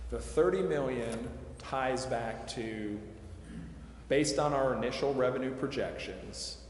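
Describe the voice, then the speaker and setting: A man giving a measured, methodical explanation at a public meeting, answering questions with careful qualifiers. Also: mid-range voice. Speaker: presenter answering committee questions on project financing. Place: large echoey council chamber. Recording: echoey hall reverb, clear background noise.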